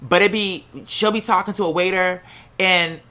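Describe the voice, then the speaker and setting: A casual storyteller with an American accent and a bright, forceful voice at a middling pitch. The delivery is candid and animated, salted with swearing, like someone recounting personal drama to listeners. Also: moderate pace. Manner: acts out quoted remarks while telling.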